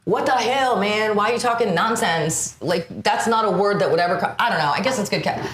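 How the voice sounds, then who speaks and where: Blunt, lively-voiced woman in a roomy room. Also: mid-range voice, fairly quick, slight background noise. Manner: blunt, animated, jumps between ideas. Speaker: podcast co-host and stand-up comic. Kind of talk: casual chat.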